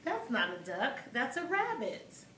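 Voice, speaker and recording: A woman's voice: on the high side, wildly swooping pitch, a little slow, sharp tone, slight background noise, voice pushed up, exaggerated voice. Woman reading as a contrary rabbit-insisting friend. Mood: teasing contrary. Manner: teasing, contrary. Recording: echoey room, mic at a distance.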